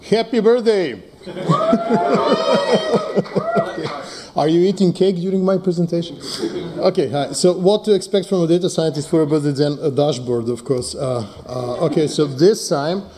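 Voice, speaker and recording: Man with a mellow voice. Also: fairly high pitch, sing-song, a little slow, pauses often, slight background noise. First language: Serbo-Croatian. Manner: hesitant, many fillers, joking asides. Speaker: conference presenter, data scientist. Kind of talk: conference talk with live demo.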